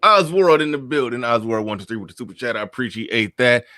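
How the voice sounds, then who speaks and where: Man, livestream host, relaxed and easygoing, dry sound. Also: mid-range voice, fairly quick, no echo. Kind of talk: livestream hosting.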